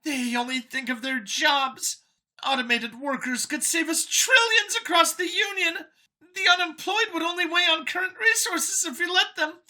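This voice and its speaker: A woman's voice: very high voice, normal speed, pushed and bright, voice pushed up, exaggerated voice. A woman voicing a nervous, boastful female alien scientist from an audio story, whiny and gushing as she justifies her elitist views. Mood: fervent, self-righteous. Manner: gushing, over-eager justifying.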